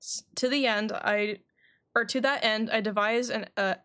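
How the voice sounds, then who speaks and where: A woman's voice: on the high side, steady tone, normal speed, fairly bright tone, clear, resonant tone. A woman livestreaming a game, chatting informally and reading game dialogue aloud. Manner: chatty, informal, says "like" often.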